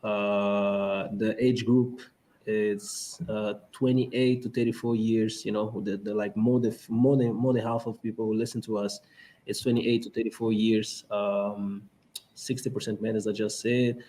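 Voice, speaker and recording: A man from Angola with an Angolan Portuguese accent, hosting a podcast and talking in a halting way with many fillers and restarts. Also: fairly low pitch, flat delivery, dark tone, slight background noise. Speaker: podcast host. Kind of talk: casual conference talk.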